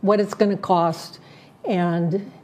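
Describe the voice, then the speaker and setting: A woman serving as a community board director, laying out her plans in an interview, listing points slowly with many fillers and restarts. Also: medium pitch, fairly even intonation, darkish tone. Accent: Southern Californian. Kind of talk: prepared statement in an interview.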